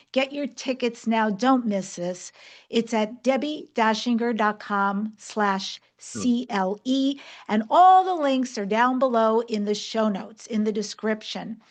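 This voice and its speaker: A woman from New York working as a podcast host, speaking directly and with emphasis. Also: a little high. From New York, United States. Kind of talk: podcast hosting, announcements and interviewing.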